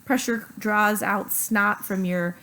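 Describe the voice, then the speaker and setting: Middle-aged woman, a doctor hosting a casual home video, unwell, gently quizzing a child, mid-pitched. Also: fairly even intonation, slow, slight background noise. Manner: coaxing, asks leading questions. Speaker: doctor and host.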